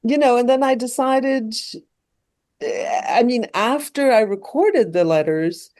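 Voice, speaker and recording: Halting French-born woman in her sixties. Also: fairly high pitch, lively intonation, warm tone. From France. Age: 60s. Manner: halting, many fillers and restarts. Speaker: documentary filmmaker guest. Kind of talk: casual interview conversation.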